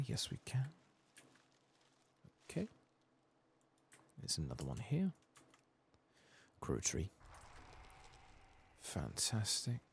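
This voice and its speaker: A man softly narrating a video game playthrough in ASMR style, with dry, sweary asides. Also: low-pitched, a little hiss or hum. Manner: dry, sweary asides, reads quest text aloud. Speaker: ASMR gaming streamer.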